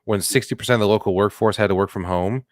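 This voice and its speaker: A man with a low voice co-hosts a comedy podcast in a loose, joking way, riffing and putting on silly voices. The sound is dry and close, with no room in it. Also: quick pace, dry recording. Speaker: podcast co-host. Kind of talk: casual comedic chat.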